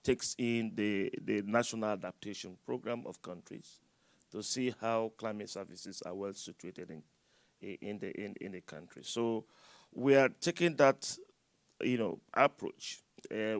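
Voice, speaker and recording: A man speaking on a conference panel, loud, halting and full of fillers and restarts, speaking into a microphone. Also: lowish voice, no room sound.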